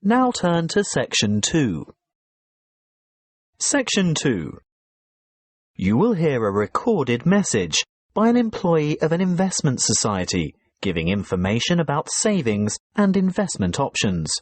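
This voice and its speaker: A man with a mid-pitched, husky voice narrates listening test instructions. His delivery is formal and measured, yet the intonation moves very widely. The recording is dry. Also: huge pitch swings, dry recording. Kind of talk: scripted exam instructions.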